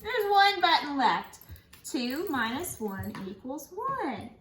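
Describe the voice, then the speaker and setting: An echoey room carries the high voice of a woman teaching young children through a read-aloud. She speaks in a sing-song storytelling manner and swings widely in pitch as she puts questions to her listeners. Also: sing-song, slow, a bit bright. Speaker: schoolteacher reading to young pupils at home. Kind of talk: read-aloud picture book lesson for children. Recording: echoey room, faint background noise.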